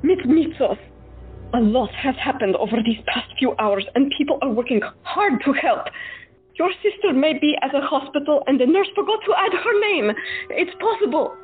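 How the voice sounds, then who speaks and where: An actress playing a compassionate woman doctor who talks in a soft, earnest, reassuring way in an audio drama. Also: high voice, lively intonation, normal speed. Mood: consoling. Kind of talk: amateur audio drama acting. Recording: tinny phone-like audio, faint music.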